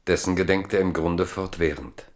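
Low-voiced male radio play narrator. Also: fairly even intonation, moderate pace.

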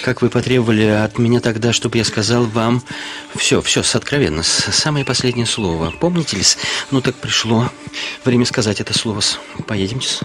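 An actor playing an obsequious, needling, self-abasing husband in a Russian radio drama, halting and wheedling with hissed deferential endings. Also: male voice, medium pitch, lightly acted. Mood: urgent, insistent.

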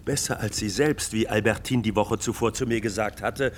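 A man's voice: lowish voice, steady tone, moderate pace, close to an everyday voice. An actor playing a man confiding in his friend, in a literary radio play style. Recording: faint ambient background noise.

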